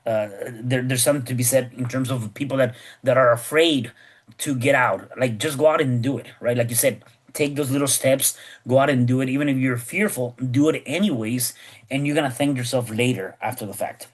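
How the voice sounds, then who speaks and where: Podcast host, man, chatty and rambling, middle-pitched voice. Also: a little fast. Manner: rambling, many repeated words and restarts. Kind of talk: casual podcast conversation.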